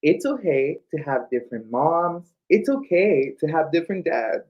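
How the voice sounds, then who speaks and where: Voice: medium pitch, animated, normal speed. Drag queen reading to kids, campy n playful, voice goes up and down a lot, kinda muffled livestream sound. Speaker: drag queen story time co-host. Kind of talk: children's read-aloud with playful banter. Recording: slightly dull livestream audio.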